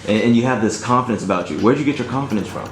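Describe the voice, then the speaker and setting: Fast-talking interviewer in an echoey room. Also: medium pitch, a little hiss or hum. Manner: quick reactive questions. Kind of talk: casual interview questions.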